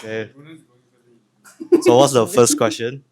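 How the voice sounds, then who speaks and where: A man's voice: mid-range voice, steady tone, unhurried, a crowd in the background. A young university-age man from Brunei chatting on a podcast, laid-back with short answers. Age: young adult. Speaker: podcast guest. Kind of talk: casual chat.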